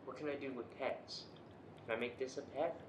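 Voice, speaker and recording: A man's voice: medium pitch, steady tone, brisk, reverberant, a little hiss or hum. A young man talking casually and bluntly to a friend while they play a video game, swearing playfully. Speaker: gamer in co-op let's play. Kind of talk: casual gaming chat with a friend.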